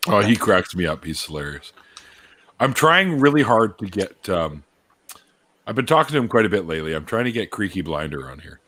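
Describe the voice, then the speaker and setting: A man's voice: on the low side, sing-song, a little fast, a bit bright. A Canadian man hosting a casual livestream chat over a video call, joking and laughing often. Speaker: host.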